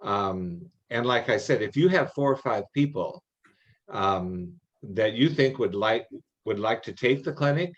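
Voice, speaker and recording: A man's voice: fairly low pitch, expressive, a little slow, dull audio. An older, retired American man explaining things in a meeting, emphatic and given to repeating words for weight. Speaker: workshop presenter and judge trainer.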